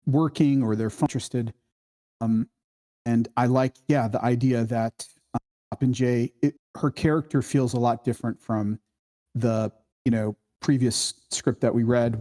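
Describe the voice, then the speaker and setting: Mellow-voiced man thinking aloud. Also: medium pitch. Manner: halting, informal, thinking aloud. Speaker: script workshop participant giving feedback. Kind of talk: casual spoken critique.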